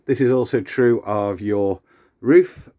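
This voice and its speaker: A man's voice: medium pitch, slow, warm tone. A man presenting an instructional video, explaining things step by step in an unscripted, hands-on demonstration.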